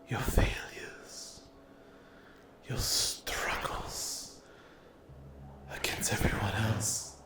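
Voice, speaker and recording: A man voicing a cruel, possessive demon in an ASMR roleplay, murmuring and whispering breathily with sweet menace. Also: on the low side. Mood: hungry, tender menace. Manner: breathy, drawn-out, sadistic, gloating endearments. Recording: roomy echo with clear background noise.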